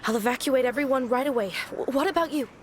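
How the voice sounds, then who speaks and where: A woman's voice: high-pitched, fast, noisy background, natural voice. A woman voicing a courteous, earnest young shrine maiden in a video game. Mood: polite, earnest. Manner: polite, earnest. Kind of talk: English video game voice acting.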